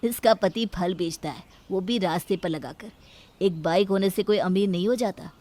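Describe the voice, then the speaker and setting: A woman's voice: medium pitch, normal speed, warm tone, lightly acted. A woman voicing a supportive, affectionate young husband who sells tea, in a cartoon moral story. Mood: indignant, consoling.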